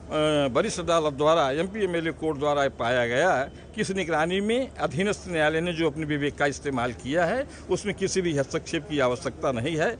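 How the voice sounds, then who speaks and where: A man's voice: a little high, fairly quick. A man with a north Indian accent giving a press statement as a lawyer in formal, legalistic wording. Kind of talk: press statement on a court ruling. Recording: faint outdoor ambient noise.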